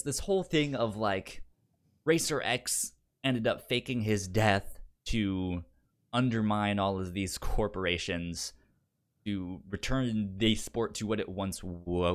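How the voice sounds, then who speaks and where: A man hosting a podcast in casual film discussion, with a stutter that repeats sounds. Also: on the low side. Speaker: podcast host.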